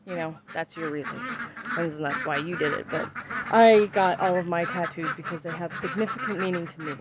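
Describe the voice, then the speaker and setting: A woman's voice: fairly low pitch, fairly quick, very noisy. A woman in her thirties chatting casually in a vlog, rambling and emphatic as she works outdoors in her backyard. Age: 30s. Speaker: vlogger.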